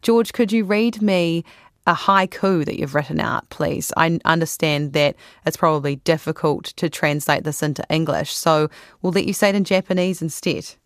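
A New woman hosts an interview with a low, steady voice. Her questions are measured and prepared. The sound is dry and close, with no room echo. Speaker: radio interviewer.

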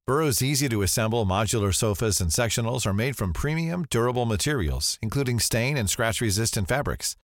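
Man with a lively, upbeat ad read. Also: medium pitch, brisk, few pauses, no echo, clean studio sound. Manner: upbeat, smooth sales delivery. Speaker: ad reader. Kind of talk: product ad read.

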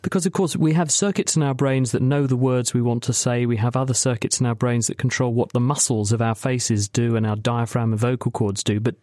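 A man in a mid-range voice presents a science programme, moving smoothly between scripted introductions and questions. His voice is close and dry, with no room sound. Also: mid-range voice, fairly even intonation, fairly quick, hardly any pauses, a little mellow, no echo. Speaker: radio science show host.

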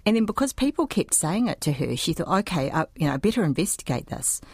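A woman writer recounting a story in an interview, animated and full of fillers. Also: fairly low pitch, fast, slightly rough, no echo. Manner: animated retelling with many fillers.

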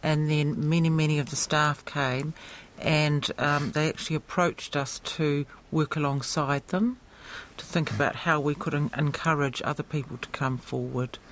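A man speaking as a theatre director in an interview, reflective, searching for phrases in a halting way. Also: on the high side, steady tone, a bit bright, a little hiss or hum.

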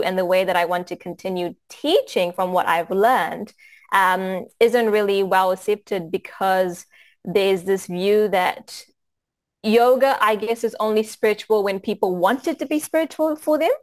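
A woman's voice: medium pitch, steady tone, measured pace. A woman from Fiji who teaches yoga, speaking in an interview with hesitant, filler-heavy phrasing that rises when she presses a point. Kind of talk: casual interview talk.

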